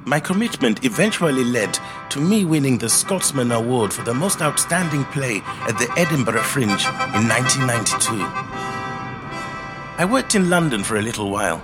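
An actor playing a reflective middle-aged Zimbabwean man telling his life story in a measured, earnest monologue. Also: medium pitch, expressive, over music, close to an everyday voice. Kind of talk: scripted autobiographical monologue, storytelling.

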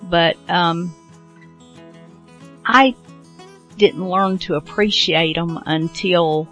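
A woman's voice: fairly low pitch, steady tone, very slow, a bit bright, faint music behind. A middle-aged or older woman hosting a radio show with casual, rambling introductions.

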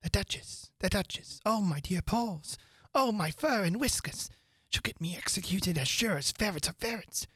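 A woman's voice: medium pitch, lively intonation, normal speed, breathy edge, dry recording, pitched above the performer's own voice. A woman voicing an anxious, bossy storybook rabbit with a fussing, fretful manner. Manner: fretful muttering and sharp ordering.

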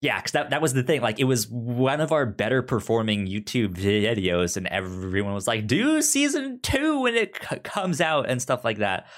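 A man's voice: mid-range voice, animated, moderate pace, few pauses. A man co-hosting a podcast in casual chat, informal and speaking straight to the listeners. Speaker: podcast co-host.

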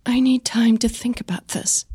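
A woman's voice: a little high, steady tone, moderate pace, dark tone, breathy edge, no echo, clean studio sound, pitched above the performer's own voice. A woman voicing a bitter, defensive young actress in an audio drama quarrel, emotional and clipped, with a flu-affected voice. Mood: quiet, withdrawn.

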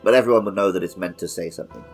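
A man's voice: deep voice, racing, gravelly, soft background music. A man co-hosting a podcast, explaining things in a casual, conversational way. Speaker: podcast host.

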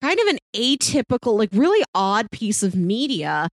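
A woman's voice: on the high side, sing-song, normal speed, no room sound. A woman co-hosting a podcast, casually recommending things in an earnest, enthusiastic way with emphatic lists. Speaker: podcast co-host reviewing shows.

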